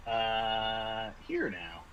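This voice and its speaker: A man running a tabletop horror game as game master, improvising scene descriptions with halting, dramatic pauses. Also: on the low side, lively intonation, vocal fry, fairly bright tone, dull audio, a little hiss or hum.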